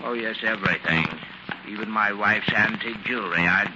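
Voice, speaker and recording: An actor playing a sorrowful, polite old man who speaks haltingly in a 1940s radio drama. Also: on the low side, close to an everyday voice. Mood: forlorn. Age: older. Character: old widowed man, dazed and sorrowful after losing everything. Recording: noisy muffled 1940s radio recording.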